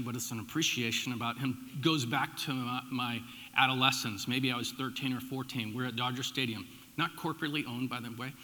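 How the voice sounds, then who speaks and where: A middle-aged American man speaks as a pastor in a big, reverberant hall. His voice is very bright and forceful, at an average male pitch. He tells stories informally, punching some phrases and letting asides drop away. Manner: informal, animated storytelling with emphatic swings and dropped asides. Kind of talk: conversational sermon with personal storytelling. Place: large church room, addressing a congregation. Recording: echoey hall with long reverb.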